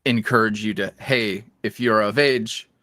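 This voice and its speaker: A man's voice: on the low side, steady tone, a little slow, pushed and bright, dry recording, clean studio sound. A man speaking as a podcast guest in casual interview chat, with a wry, self-deprecating, rambling manner. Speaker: podcast guest, ASMR roleplay creator.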